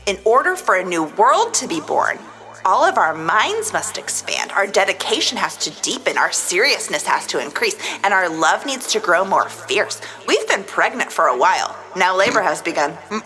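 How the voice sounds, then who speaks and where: A woman's voice: medium pitch, big pitch swings, moderate pace, pushed and bright, faint music behind, rough recording. A woman co-hosting a podcast, speaking in a theatrical, emphatic and sardonic way. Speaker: podcast co-host. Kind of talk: mock-dramatic reading of tweets, mixed with casual chat.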